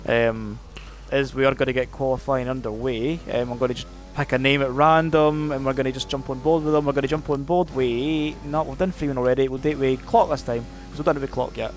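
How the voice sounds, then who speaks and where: A man's voice: mid-range voice, fairly even intonation, fairly quick, few pauses, faint music behind. Chatty Scottish man commentating. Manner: chatty, lots of fillers and restarts. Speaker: race commentator. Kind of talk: live sim racing stream commentary.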